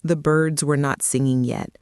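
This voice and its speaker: A woman narrating a calming children's storybook tale in a gentle, soothing manner. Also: low voice, measured pace, smooth, dry recording, clean studio sound.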